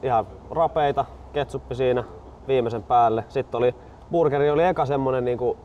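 A man's voice: medium pitch, muffled recording. Casual young man outdoors, faint background noise. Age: 20s. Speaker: co-host. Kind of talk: casual chat. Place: outdoors at a golf club.

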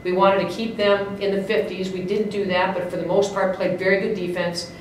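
A woman's voice: mid-range voice, level tone, fairly quick. A woman in her fifties, a basketball coach at a postgame press conference, speaking in an upbeat, emphatic way with many restarts and fillers. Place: press room, indoors. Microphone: desk mic on stand. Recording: echoey room, mic at a distance, faint hiss.

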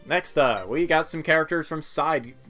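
A man's voice: fairly high pitch, moderate pace, sharp tone. A man giving casual, rambling gameplay commentary with hesitant asides, in a laid-back way. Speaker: video game player and commentator. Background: video game music.

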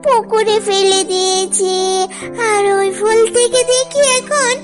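Woman playing a small fox girl, cartoon style, squeaky high pitch, pleading singsong, unhurried. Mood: excited. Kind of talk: children's cartoon voice acting. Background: children's music.

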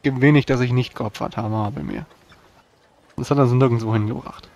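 A man acts out a bitter, impulsive hero in a fantasy tabletop game. He protests in archaic formal words, in a mid-pitched voice. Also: fairly even intonation, fairly quick, gravelly, a little mellow, muffled recording, natural voice. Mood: bitter, doubting. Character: impulsive, embittered male adventurer haunted by dark voices. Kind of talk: tabletop roleplay in character.

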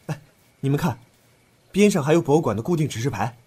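A man acting in a Chinese audio drama as an earnest, thoughtful young man, expressive and musing. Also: fairly high pitch, fast, husky. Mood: curious.

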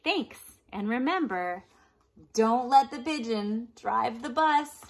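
A woman voicing a friendly, brisk bus driver from a children's picture book. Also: on the high side, animated, voice pushed up, heavily acted. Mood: cheerful. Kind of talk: children's storybook read-aloud.

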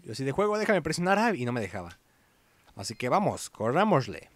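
A man's voice: mid-range voice, sing-song, fast. A man giving informal let's play commentary, reading game dialogue aloud and sometimes correcting himself. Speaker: gaming YouTuber. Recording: game music behind the voice. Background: video game music.

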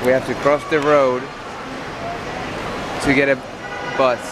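A man filming a casual travel vlog, giving relaxed tips on a handheld camera. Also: medium pitch, slow pace. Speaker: vlogger and cameraman. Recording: loud street noise.

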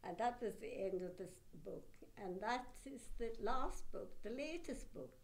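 An older woman from Burma with an Anglo-Burmese accent, an author telling her story in an unhurried, reflective way with pauses. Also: on the high side, animated. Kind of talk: interview answers. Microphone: table mic on a stand.

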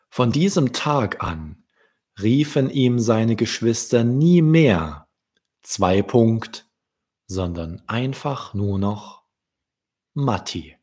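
A young man from Germany telling stories to children in a deliberate manner, as an author hosting his readings. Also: fairly low pitch, a little mellow, breathy edge. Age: young adult. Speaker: children's author, channel host. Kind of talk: storytelling for children. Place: small indoor room. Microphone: close desk microphone with pop shield.

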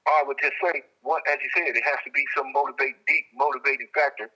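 A man's voice: mid-range voice, fairly even intonation, quick pace, sharp tone, slightly rough. A man being interviewed over a telephone line, explaining his reflections emphatically and repeating phrases. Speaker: interviewed guest, prison inmate calling.

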